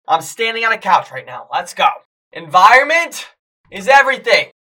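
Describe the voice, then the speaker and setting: A hoarse young man, a fitness coach and podcast host, talking energetically to viewers on a live stream as he loses his voice. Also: sits high, big pitch swings, normal speed. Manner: hyped, shouting encouragement to viewers.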